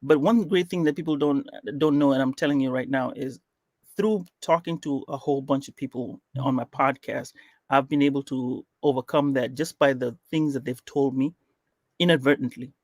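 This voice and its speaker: A man from Kenya, with Swahili as his first language, chats as a podcast guest in a loose, rambling way. He keeps stopping to reach for words and fills the gaps with "uh" and "um". His voice is mid-pitched and sits close and dry on the mic. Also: normal speed, no room sound. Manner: rambling, with frequent fillers and restarts. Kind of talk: casual interview chat.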